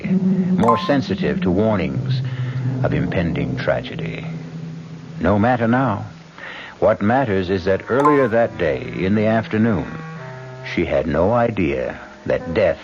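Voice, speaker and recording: A man narrating an old-time radio mystery as host, with ominous, deliberate phrasing. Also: sits low. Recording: muffled old radio broadcast.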